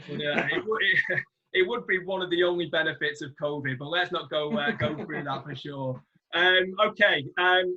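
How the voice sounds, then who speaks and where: A man's voice: on the high side, sharp tone, lo-fi sound. A man hosting a live webinar Q&A, asking questions and laughing often. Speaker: webinar host. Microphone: video call.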